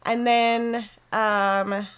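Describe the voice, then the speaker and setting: Woman, livestream craft host, bubbly and chatty, fairly high voice, slightly hoarse, speaking from home. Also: little pitch movement, extremely slow, fairly bright tone. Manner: bubbly and chatty, greets viewers by name, frequent ums and laughs. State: slightly hoarse, losing her voice after being sick. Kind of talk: casual livestream chat while crafting. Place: room at home with a door open to rain.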